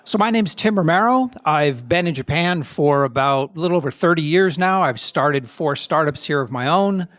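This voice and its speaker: A man's voice: a little high, expressive, moderate pace, few pauses, fairly bright tone, dry recording. Upbeat man hosting, dry close sound. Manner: upbeat, talkative, repeats words when starting. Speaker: podcast host and panel moderator. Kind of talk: podcast intro then stage panel moderation. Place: stage at a conference event.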